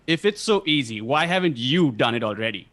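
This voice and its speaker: Man acting gruff gangster, challenging, animated pitch, table read, slight background noise. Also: brisk, close to an everyday voice.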